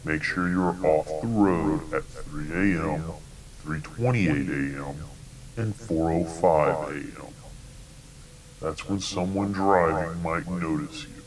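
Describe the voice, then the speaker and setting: A man playing a sinister, taunting entity that lives in television static, speaking in a calmly menacing, mocking manner in an analog horror drama. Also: rumbling low, takes it slowly. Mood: calm, instructing. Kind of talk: analog horror scripted dialogue.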